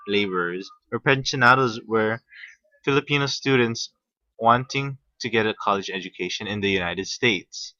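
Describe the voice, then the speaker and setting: A young man from Hawaii reading a class presentation, halting at times with restarts. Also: lowish voice, bright, forceful tone, no echo. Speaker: student presenter. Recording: muffled, slightly lo-fi.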